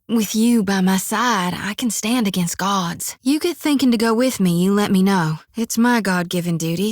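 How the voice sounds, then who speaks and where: An actress voicing a young, folksy and earnest harvest priestess in a video game, talking in a homespun Southern drawl. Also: female voice, mid-range voice, no echo, clean studio sound, natural voice. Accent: American Southern rural. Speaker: game character.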